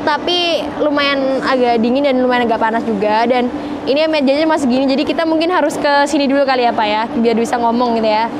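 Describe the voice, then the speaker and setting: A young woman hosting a casual podcast chat outdoors at an eatery, bubbly and quick to laugh as she asks questions. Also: high voice. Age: young adult. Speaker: podcast host. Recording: noisy outdoor street.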